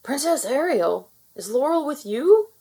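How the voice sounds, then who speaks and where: A woman's voice: high-pitched, sing-song, a little slow, dark tone, pitched above the performer's own voice, full character voice. A woman voicing an anxious village father in a storybook, urgent and worried about his child. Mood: alarmed.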